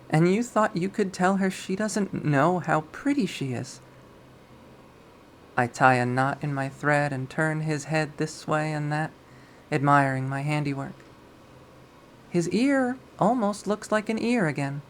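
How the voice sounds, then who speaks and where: A reader playing a possessive young woman narrates a dark visual novel in a measured, wistful tone. She sounds obsessive and coldly cruel, at a middling pitch. There is faint noise in the recording. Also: lightly acted. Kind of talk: dramatic visual novel readthrough.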